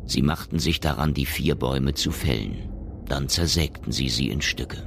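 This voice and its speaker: A man playing the grave storyteller of a science fiction radio drama, narrating in a measured, solemn manner. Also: very deep voice, vocal fry, noisy background.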